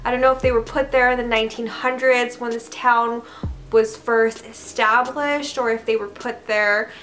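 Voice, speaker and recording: A young woman from Canada, her voice sitting a little high, chats straight to camera from her bedroom, peppering her story with "like" and "I don't know". Soft music plays faintly underneath. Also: fairly even intonation, moderate pace. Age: young adult. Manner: chatty, full of "like" and "I don't know". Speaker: vlogger. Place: bedroom, indoors.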